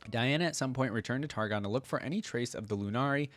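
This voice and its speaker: A man's voice: medium pitch, fast talker, dry recording. A man hosting a reaction video, reading aloud and adding casual commentary of his own.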